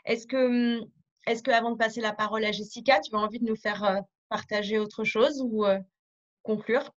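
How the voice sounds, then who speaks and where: A woman's voice: fairly high pitch, fairly even intonation, moderate pace. Laughing woman moderating a video call. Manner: friendly, laughs often. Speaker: conference moderator. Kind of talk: interview questions on video call. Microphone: webcam on a video call. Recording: dull video-call audio.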